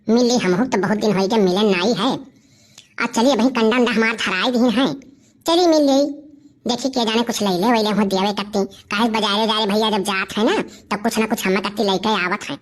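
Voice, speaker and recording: A woman's voice: mid-range voice, moderate pace, lightly acted. A woman voicing a suspicious, chatty, grumbling village auntie with an Awadhi accent in comic cartoon dialogue. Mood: musing.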